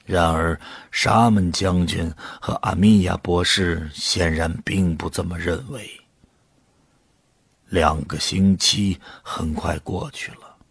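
A man narrating an audio drama in the first person, in a sombre, deliberate storytelling manner. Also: sits low, fairly even intonation, slightly rough, no echo.

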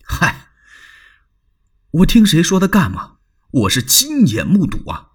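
A man voicing an anxious, earnest older court noble in a traditional told story, pleading and husky. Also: a little high, huge pitch swings, measured pace, pitched above the performer's own voice, heavily acted. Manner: urgent, pleading, turns tearful. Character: anxious loyal older male imperial in-law, earnest.

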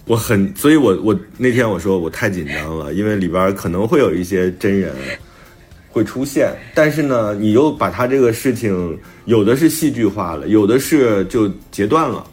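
A man's voice: on the low side, expressive, normal speed, a little mellow, soft background music. A middle-aged man talking casually as a novelist, telling stories and acting out bits of quoted conversation. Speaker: novelist guest discussing own book.

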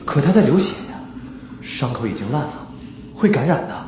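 A man's voice: medium pitch, very animated, brisk, lightly acted. Man as an uneasy, echoey-sounding guard. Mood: worried. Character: uneasy, soft-hearted junior male guard. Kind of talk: radio drama acting. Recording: echoey, noisy ambience.

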